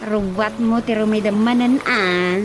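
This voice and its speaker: A woman acting a lively, teasing village woman in an Ilocano comedy radio drama. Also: mid-range voice, a little slow, background noise, lightly acted.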